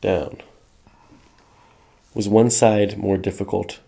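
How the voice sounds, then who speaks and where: A man working as a chiropractor, gently and reassuringly talking a patient through an ASMR exam step by step. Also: fairly low pitch, level tone, slow pace, vocal fry. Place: small carpeted office treatment room. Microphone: microphone on a boom stand near the table.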